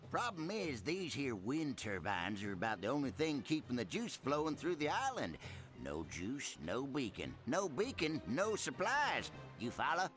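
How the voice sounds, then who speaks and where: Folksy, animated rural American yokel. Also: male voice, medium pitch, measured pace, soft background music. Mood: folksy, uneasy. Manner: folksy, rambling, muttering. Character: folksy, grumbling, timid small-town island mechanic. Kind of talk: video game cutscene acting.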